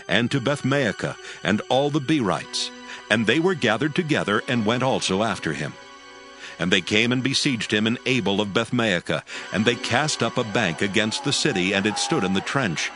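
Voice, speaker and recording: Male narrator for dramatized scripture, low voice, lively intonation, music under. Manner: measured, expressive storytelling. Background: background music.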